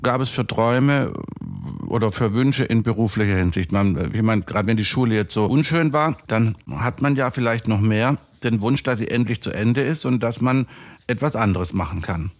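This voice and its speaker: A man's voice: on the low side, moderate pace, no room sound, studio quality. A man hosting a podcast interview, asking rambling questions that he often restarts mid-sentence. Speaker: podcast host.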